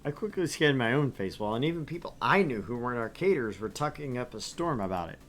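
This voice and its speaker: A man reads a visual novel aloud for his let's play, his voice swinging widely and animatedly through each line. He drops in joking asides as he goes, at an everyday middle pitch. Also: fast talker, faint music behind. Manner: lively, animated reading with asides.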